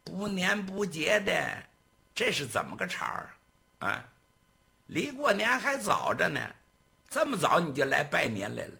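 A man voicing a bullying middle-aged male gang boss in a traditional storytelling piece, talking in a loud, scornful bark. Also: a little high, sing-song, measured pace, vocal fry, pitched above the performer's own voice, exaggerated voice.

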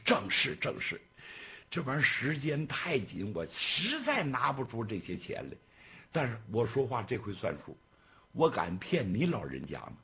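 Man playing desperate steward, groveling, whiny pleading, raspy. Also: mid-range voice, huge pitch swings, moderate pace, pushed and bright, full character voice. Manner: whining, groveling, stammering.

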